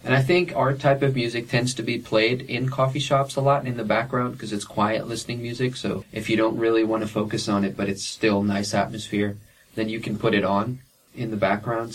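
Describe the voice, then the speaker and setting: A man's voice: lowish voice, little pitch movement, normal speed, far from the mic, low-quality recording. A young man and independent musician answering interview questions frankly, with plenty of fillers and restarts.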